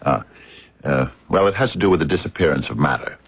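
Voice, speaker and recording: An actor playing an earnest, courteous American physicist in a radio mystery, speaking in a hesitant, wordy, stammering way. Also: male voice, a bass voice, lively intonation, fast talker, breathy edge, exaggerated voice. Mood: fussy, eager. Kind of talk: radio drama acting. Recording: dull, muffled radio drama recording.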